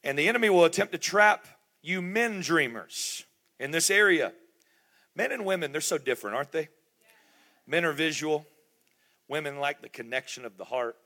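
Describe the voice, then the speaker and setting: A man's voice: fairly high pitch, expressive, moderate pace, long pauses, bright, forceful tone. A middle-aged man preaching a sermon in a church, emphatic and repetitive, with rhetorical questions. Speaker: pastor.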